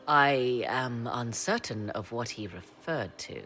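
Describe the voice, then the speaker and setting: A woman's voice: extremely low pitch, animated, slow, a bit bright, exaggerated voice. A woman playing a haughty, enigmatic sorceress in a fantasy game, speaking in arch, formal, archaic phrases. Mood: defensive. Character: haughty, enigmatic sorceress advisor, learned in old legends. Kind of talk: dramatic fantasy game acting. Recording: clear background ambience noise.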